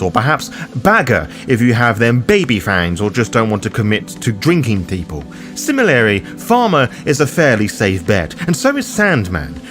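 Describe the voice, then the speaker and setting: Lively male explainer with wry asides. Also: mid-range voice. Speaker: host of a tabletop roleplaying guide. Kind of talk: scripted narration, explainer.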